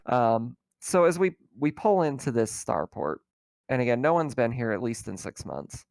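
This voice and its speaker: A man acting as game master, improvising narration for a tabletop roleplaying game in a halting, conversational way. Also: medium pitch, sing-song, vocal fry, a little mellow, no room sound.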